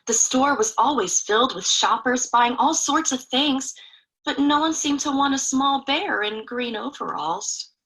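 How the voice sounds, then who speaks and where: A woman's voice: sits high, lively intonation, unhurried, fairly bright tone, poor audio. A woman reading a picture book aloud expressively to children, in a chatty way with young listeners. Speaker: children's story reader.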